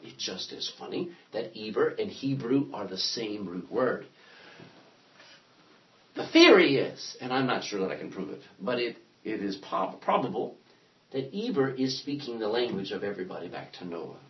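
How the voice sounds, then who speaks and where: A man's voice: fairly low pitch, expressive, normal speed, lo-fi sound. A man teaching a Bible class in a classroom, joking and thinking aloud as he lectures.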